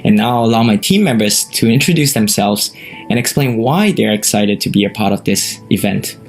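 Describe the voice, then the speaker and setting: A man's voice: fairly low pitch, expressive, a little fast. A man, a student competitor, reading an earnest self-introduction. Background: background music.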